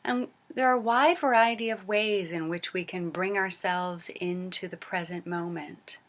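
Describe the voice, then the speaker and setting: A woman leading a guided body scan meditation as a teacher, speaking gently and unhurriedly with long pauses and soft, breathy phrase endings. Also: on the low side, fairly even intonation.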